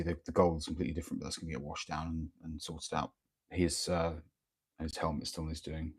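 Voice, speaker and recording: A man with a croaky voice from a cold, chatting casually and hesitantly during a morning live stream of his painting, with lots of ums and restarts. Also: low voice, darkish tone. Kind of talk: casual live-stream chat while working. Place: home workspace, early morning. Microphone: microphone near the speaker.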